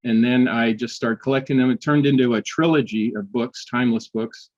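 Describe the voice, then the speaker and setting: An older man, a history professor, telling personal stories in a casual interview, often restarting sentences and filling pauses. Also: medium pitch, fairly even intonation, dull audio. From United States. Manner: halting, many restarts and fillers.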